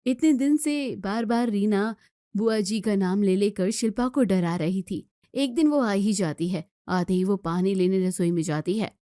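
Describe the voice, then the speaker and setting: A woman's voice: medium pitch, a little slow, dark tone, no echo, clean studio sound. A woman narrating a scripted family drama story as its storyteller.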